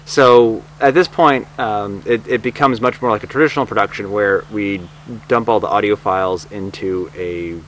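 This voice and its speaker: A man's voice: lowish voice, normal speed. A man speaking off the cuff in a documentary interview, explaining his creative work in a reflective way. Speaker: audio drama producer and writer. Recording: noisy recording with steady hum.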